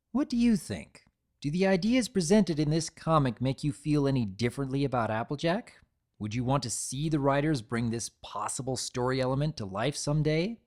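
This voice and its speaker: A man's voice: mid-range voice, darkish tone. A man hosting a fan channel, giving scripted analysis commentary in an earnest, formally worded way.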